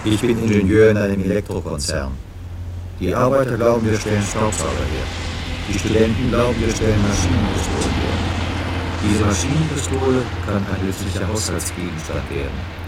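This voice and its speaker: An actor playing a sober engineer narrator in an experimental radio play, reciting disjointed statements matter-of-factly. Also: male voice, fairly low pitch, expressive, brisk, heavy background noise. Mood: declarative.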